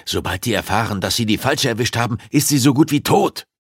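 A man's voice: medium pitch, lively intonation, fairly quick, dry recording, voice pushed up, close to an everyday voice. A male narrator plays a desperate father who works as a detective. He protests with agitated emotion in an audiobook scene. The recording is dry, with no room around the voice. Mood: outraged. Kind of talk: audiobook dialogue acting.

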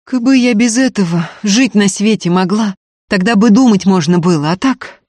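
A narrator playing a fierce, defiant young peasant woman with shrill, folksy scolding. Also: normal speed, a little mellow, dry recording, natural voice. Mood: resolute.

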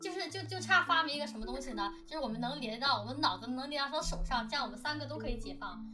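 High-pitched, quick-talking playful woman. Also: animated, distant mic, over music. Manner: playful, teasing, prone to laughing. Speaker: host and production team lead. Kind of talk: casual panel chat among colleagues.

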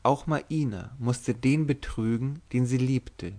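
A man's voice: mid-range voice, slow pace, dark tone. Man voicing a bold, secretive young witch-maiden. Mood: scheming. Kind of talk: dramatic literary audiobook reading.

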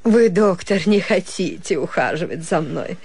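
A woman's voice: on the low side, animated, unhurried, darkish tone, breathy edge, no room sound, heavily acted. A woman playing an aging society hostess in a radio drama, coy, affected and playfully reproachful. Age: older. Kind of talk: Russian radio literary drama.

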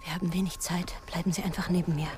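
An actress playing a devoted, anxious and defiant psychologist in a radio drama, urgent and often breathy. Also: female voice, fairly low pitch, little pitch movement, fast, a crowd in the background, natural voice. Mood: secretive, anxious.

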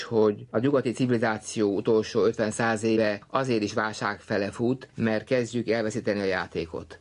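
Thoughtful male intellectual, lowish voice, articulate reflective talk, archive recording. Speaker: social researcher.

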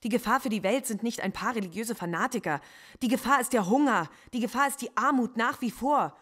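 An actress playing a defiant philosophy professor under police interrogation in a radio drama, impassioned and lecturing. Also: female voice, on the high side, brisk, a bit bright. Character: female philosophy professor suspect, defiant, intense.

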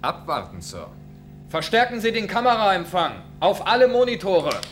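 Composed, precise senior starship officer, lively intonation, radio play with music behind. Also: male voice, high-pitched, normal speed. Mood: calm, assured. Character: composed, dutiful male senior spaceship officer. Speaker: actor. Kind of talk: German science-fiction radio drama acting.

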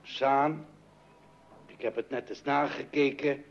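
Gravelly, flustered middle-aged father. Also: medium pitch, fairly even intonation, slow pace. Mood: matter-of-fact. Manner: blustering, exclamatory, repeating himself. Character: fussy, flustered father of a bustling household. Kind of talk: old-fashioned radio comedy acting. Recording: dull, noisy old radio recording.

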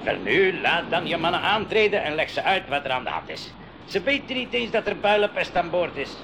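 An actor playing a crafty, persuasive professor in a radio drama, articulate and wily. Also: male voice, high-pitched, very animated, fairly quick, vocal fry, exaggerated voice. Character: crafty male professor uncle posing as a doctor. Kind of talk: 1980s school radio drama acting. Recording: noisy 1980s radio drama recording.

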